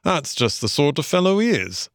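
A man's voice: a little high, sing-song, moderate pace, dry recording, clean studio sound, voice pushed up. Man as a genial, hearty storybook water rat. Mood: wry, resigned. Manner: genial, conversational, old-fashioned English phrasing. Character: friendly, hearty male water rat, easygoing gentlemanly host. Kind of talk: animal storybook character voice.

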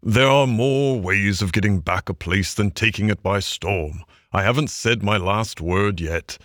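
A man voicing a stern older male badger in a storybook, gruffly kind, with a dry, weighty, commanding manner. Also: fairly low pitch, measured pace, a bit bright, no room sound. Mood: reassuring. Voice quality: thin, dry. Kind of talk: storybook character voice.

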